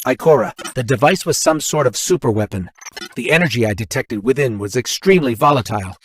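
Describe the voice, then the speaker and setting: A man voicing an earnest, dutiful little robotic companion in a video game, reporting in an expressive, analytical way. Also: mid-range voice, a little fast, slight background noise, close to an everyday voice. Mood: concerned, analytical.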